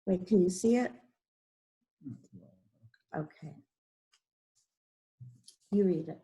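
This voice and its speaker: Slow-speaking older woman, casual. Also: fairly low pitch, mellow. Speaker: grandmother co-presenting a storytime.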